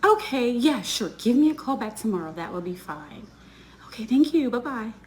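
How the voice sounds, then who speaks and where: Woman on a work call, bit high-pitched, polite, some faint noise behind. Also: big pitch swings, moderate pace.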